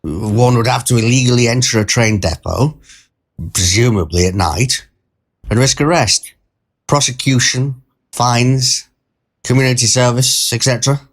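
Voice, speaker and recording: Bemused male storyteller, mid-pitched voice, wordy, pedantic, digressive, mild-mannered, conversational audio short story. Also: measured pace. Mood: pondering, pedantic. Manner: digressive, pedantic, wryly formal wording. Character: bemused, wordy, mild-mannered male train passenger telling his anecdote. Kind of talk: scripted first-person short story narration, conversational.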